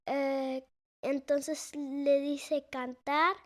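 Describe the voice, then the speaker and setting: A woman's voice: high voice, flat delivery, extremely slow. A young girl of almost nine being interviewed, answering hesitantly with many fillers while she searches for words. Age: child, almost 9. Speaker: interviewee, the host's daughter. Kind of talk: conversational interview.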